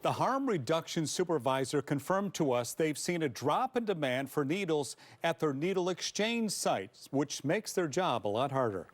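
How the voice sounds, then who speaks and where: A man's voice: fairly high pitch, big pitch swings, normal speed, few pauses, no room sound. An American man working as a TV news reporter, reading scripted narration.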